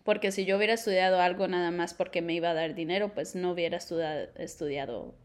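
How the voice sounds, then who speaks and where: A woman's voice: lowish voice, fairly even intonation, fast. A young woman co-hosting a podcast, chatting casually and mixing in English words. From Colombia. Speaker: podcast co-host. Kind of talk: casual chat.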